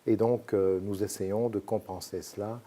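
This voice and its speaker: A man from Belgium, a sociologist explaining his research in measured, hesitant sentences. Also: lowish voice, fairly even intonation, dark tone. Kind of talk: academic interview answers.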